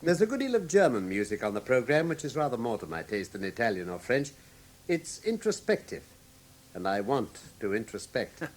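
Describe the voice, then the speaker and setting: An actor playing a brilliant, commanding Victorian gentleman detective with an English accent, precise and theatrical in a classic radio drama. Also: mid-range voice, big pitch swings, normal speed. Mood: eager.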